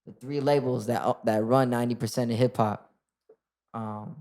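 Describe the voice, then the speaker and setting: Casual young male rapper, mid-range voice, relaxed and rambling. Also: medium pitch, normal speed. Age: 20s. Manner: relaxed, lots of "like" and restarts. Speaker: rapper guest. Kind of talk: casual interview chat.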